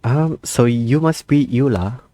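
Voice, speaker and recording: A man's voice: medium pitch, unhurried, background noise. A young man giving casual, slangy gameplay commentary, reading dialogue choices aloud as he plays. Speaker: gamer playing and reacting.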